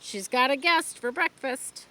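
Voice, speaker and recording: A woman voicing a farm mother giving brisk instructions at breakfast. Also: sits high, sharp tone, voice pushed up.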